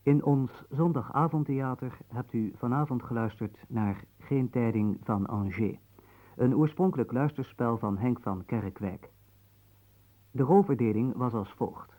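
A man announcing on the radio in a formal, measured, scripted way. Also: mid-range voice, mellow, rough recording. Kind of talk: scripted broadcast closing announcement.

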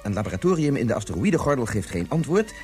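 An actor in a Dutch radio drama playing a guilt-ridden, determined scientist and father, speaking in an earnest, measured, insistent way. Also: mid-range voice, big pitch swings, darkish tone, faint music behind, lightly acted.

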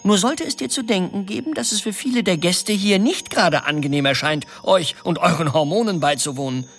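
An actor playing a pompous, self-appointed peacemaker in a science fiction radio drama, florid and theatrical. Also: male voice, high-pitched, brisk, fairly bright tone, soft background music. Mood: pompous, soothing. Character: flamboyant, self-important male peacemaking busybody.

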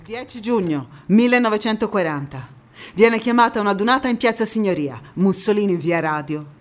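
An actress playing a passionate young Italian antifascist woman, recounting her life in a theatrical monologue with dramatic pauses and emphatic repetitions. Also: medium pitch, lively intonation, lightly acted. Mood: fervent, proud. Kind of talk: theatrical stage monologue, first-person storytelling.

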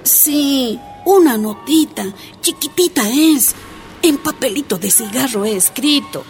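A woman's voice: high voice, animated, measured pace, soft background music. An actress playing a jailed miner's wife and young mother, pleading and defiant in a radio drama. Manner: pleading then defiant, emotional.

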